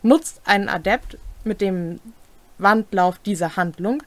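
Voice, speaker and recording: A woman's voice: mid-range voice, a little slow, no room sound. A young adult woman reads game rules aloud as a co-host, with brief comments of her own. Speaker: co-host of a tabletop role-playing rules series. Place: small furnished room at home, indoors.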